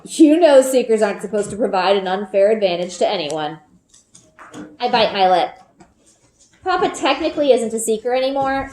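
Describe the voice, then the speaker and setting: Mellow woman storyteller in an echoey room. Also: on the high side, a little hiss or hum. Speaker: storyteller reading aloud. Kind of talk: expressive scripted children's book narration. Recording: roomy indoor echo.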